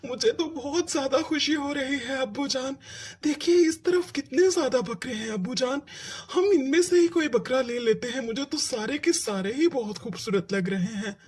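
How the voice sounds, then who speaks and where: A performer voicing an eager, impatient young boy who pleads excitedly in a children's cartoon. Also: high-pitched, moderate pace, husky. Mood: excited, delighted. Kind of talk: Hindi children's cartoon dialogue. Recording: music under the voice.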